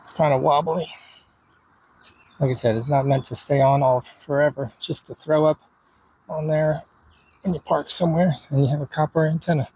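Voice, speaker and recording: A man's voice: on the high side, steady tone, normal speed, pauses often, darkish tone, rough recording. A man giving a casual, hands-on demonstration as a hobbyist video host, with informal, folksy wording. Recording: clear background noise, ambient sound.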